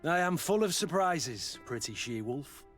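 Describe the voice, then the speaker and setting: A man voicing a gruff, loyal mercenary captain in a video game, speaking bluntly and dryly. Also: on the high side, big pitch swings, measured pace, fairly bright tone, soft background music. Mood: wry, businesslike.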